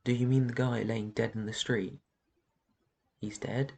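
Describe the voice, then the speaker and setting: A man's voice: mid-range voice, little pitch movement, measured pace, vocal fry, a little mellow, slightly rough. A man playing a brooding, protective vampire in an intense fantasy ASMR roleplay. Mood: angry, commanding. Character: brooding protective male vampire guarding his human flock.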